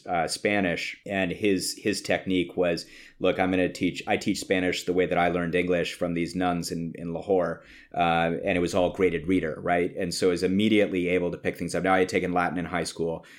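A man's voice: low voice, flat delivery, moderate pace. A man hosting a conversational interview, talking reflectively and explaining things through anecdotes. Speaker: podcast host.

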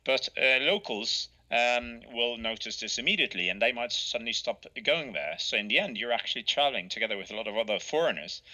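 A man from Norway, a travel author answering interview questions in a halting way, full of fillers and restarts. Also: medium pitch, lively intonation, pushed and bright, highs cut off. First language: Norwegian. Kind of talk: conversational interview.

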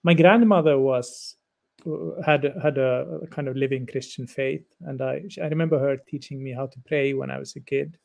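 A man around fifty from Finland, whose first language is Swedish, answers interview questions in a thoughtful, halting way with frequent restarts. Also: medium pitch, fairly even intonation, vocal fry, a little mellow. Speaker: guest lecturer.

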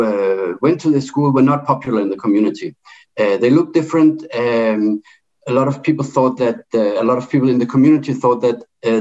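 A middle-aged man with a Danish accent talks in an online debate, his voice sitting in an ordinary middle pitch. He pauses often on fillers and restarts his phrases. The audio is somewhat muffled and lo-fi. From Denmark. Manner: halting, with many fillers and restarts. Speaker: debate speaker.

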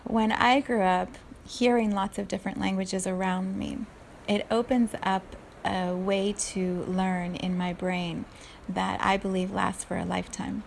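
A woman in her forties who grew up in South Africa, speaking in an unhurried, thoughtful solo talk and laughing softly at herself now and then. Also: medium pitch, level tone, vocal fry, slight background noise. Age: 40s.

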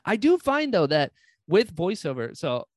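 A soft-spoken man chatting casually as a podcast host. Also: high voice, wildly swooping pitch, a little slow, no echo, studio quality. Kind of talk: casual chat.

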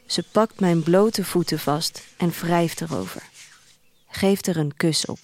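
An actress playing an introspective young woman who narrates her life in a dystopian future, in measured, deliberate first-person literary storytelling. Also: fairly low pitch, a little mellow. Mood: reflective. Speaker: narrator of a radio drama. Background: music.

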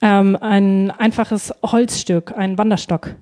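A woman's voice: mid-range voice, fairly even intonation, slow pace, mellow. A woman interpreting a sermon live, phrase by phrase, hesitating and feeling for words with frequent ums.

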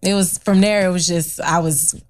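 A woman's voice: lowish voice, fairly even intonation, moderate pace. A young adult woman, a guest actress, chatting in a friendly, giggly way with lots of fillers. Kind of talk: casual interview.

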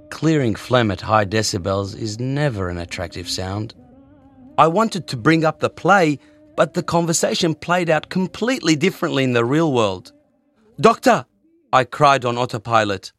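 A man's voice: medium pitch, fairly bright tone, no echo. A man telling a wry, self-mocking story about his own past in the first person. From Australia.

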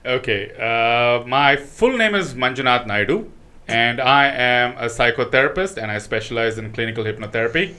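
Man, comedian guest, casual chat, joking, bright voice, outdoors. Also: mid-range voice, normal speed. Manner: joking, animated storyteller.

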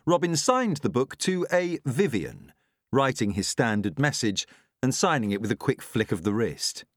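A man's voice: medium pitch, sing-song, normal speed, no echo, studio quality. A man reading a novel aloud as scripted audiobook narration.

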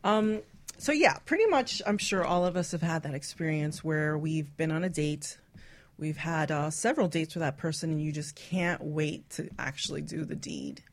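Lower-voiced woman hosting bawdy radio chat. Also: lively intonation, normal speed. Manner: teasing, brash, laughs often. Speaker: radio show host. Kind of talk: casual, bawdy talk show chat.

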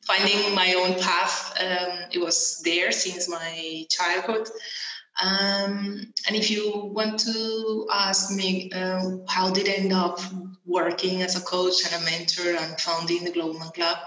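A woman from Venezuela speaking as an interview guest, with a fairly low, flat delivery full of ums and restarts. Her voice carries an echoey room sound around it. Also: slow pace. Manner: hesitant, many ums and restarts. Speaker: guest, coach and mentor. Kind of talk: interview answers.

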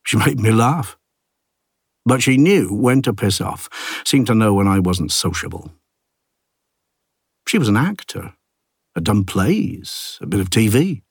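A man narrating an audiobook as an ageing village artist, a plain-spoken, gruff and reflective loner, with a husky low voice and a wry, understated delivery. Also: animated, a little slow, pauses often, no room sound, studio quality, heavily acted. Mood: fond, wry. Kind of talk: first-person literary audiobook narration.

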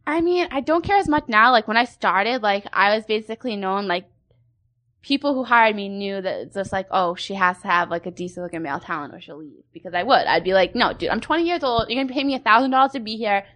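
A woman's voice: mid-range voice, quick pace, a bit bright. A woman in her twenties, a guest in a casual interview, talking bluntly and crudely with frequent laughs. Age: 20s. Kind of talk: candid casual interview chat.

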